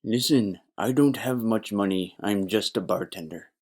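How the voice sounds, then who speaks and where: A man's voice: on the low side, normal speed, natural voice. A man playing a naive, gossipy young bartender in a noir audio play, earnest and emphatic. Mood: wary.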